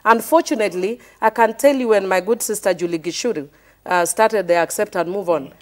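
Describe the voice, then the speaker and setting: A woman's voice: medium pitch, fairly quick, darkish tone. A Kenyan woman on a televised panel, arguing her point with passion and repeating it insistently. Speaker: member of parliament, panel guest. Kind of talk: heated panel debate.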